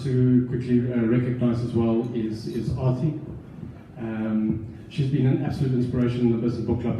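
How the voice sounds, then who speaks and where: A man who founded a book club and hosts it, addressing an audience in an earnest, measured way, with restarts. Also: mid-range voice, mellow, a little hiss or hum. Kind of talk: speech to an audience. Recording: echoey room, voice from far off.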